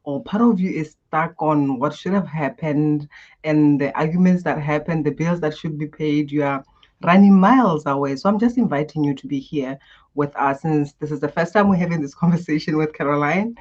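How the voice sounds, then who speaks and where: Expressive woman. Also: low-pitched, moderate pace, muffled recording. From Botswana. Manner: expressive, guides listeners through breathing. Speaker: guest coach. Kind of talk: animated interview answers.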